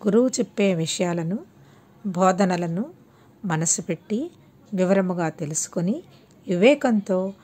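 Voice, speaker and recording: A woman's voice: on the low side, lively intonation, a little slow, pauses often, warm tone. A woman narrating a scripted moral tale for children as an audiobook storyteller.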